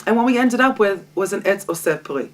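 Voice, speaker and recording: A woman, a Hebrew speaker, leads a Torah study session. Her voice sits at a middle pitch, and her delivery is lively and self-mocking, with frequent laughter. The mic is set some distance away in a home library. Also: a little fast. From Israel. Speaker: guest teacher.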